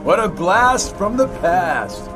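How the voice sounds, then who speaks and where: An actor playing a sly, sardonic middle-aged schemer with a mocking manner in a video game. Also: male voice, high voice, very animated, slow, music in the background, exaggerated voice. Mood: taunting. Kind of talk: video game cutscene acting.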